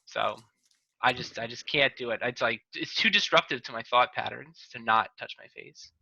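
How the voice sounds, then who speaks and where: A man's voice: medium pitch, moderate pace, vocal fry, bright, forceful tone, no room sound. A man hosting a podcast in casual chat over a video call, rambling and correcting himself as he goes. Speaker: podcast host. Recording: dull lo-fi video call audio, dry.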